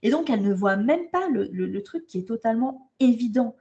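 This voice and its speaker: A woman's voice: fairly high pitch, animated, brisk, dark tone, lo-fi sound. A French woman coaching women, talking frankly and emphatically in a casual interview, often repeating her starts. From France. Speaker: guest, holistic coach. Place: travelling abroad, indoors.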